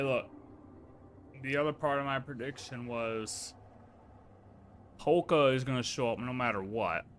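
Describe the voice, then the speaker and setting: A man livestreaming a game with casual, excitable commentary, emphatic, repetitive and sweary, over game music. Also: mid-range voice, a little hiss or hum. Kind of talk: casual live reaction commentary.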